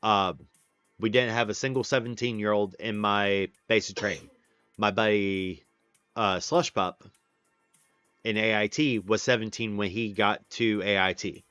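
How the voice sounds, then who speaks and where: A man's voice: fairly low pitch, fairly even intonation, a little slow, a bit bright, clean tone, no room sound, highs cut off, soft background music. A man in his late thirties hosting a casual stream, rambling with lots of fillers and restarts. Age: 30s. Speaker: livestream host. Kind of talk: casual chat with viewers.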